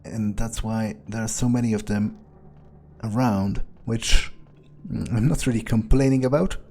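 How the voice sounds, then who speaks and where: A man playing a wary, crude, sardonic vampire in an improvised video game roleplay, muttering his thoughts with hesitant pauses. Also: on the low side, steady tone, dark tone, slight background noise. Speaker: gamer playing in character.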